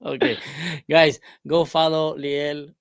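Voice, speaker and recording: A man's voice: on the high side, fairly even intonation, very slow, clear, resonant tone, no echo, clean studio sound. A man in his forties from Israel, chatting with strangers on a livestream and asking lots of questions. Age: 40s. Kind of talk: casual video chat. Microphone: desk camera mic.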